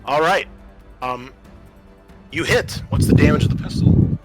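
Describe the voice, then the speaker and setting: A man acting as game master, narrating and refereeing a tabletop roleplaying game in an informal, halting way. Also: on the high side, over music.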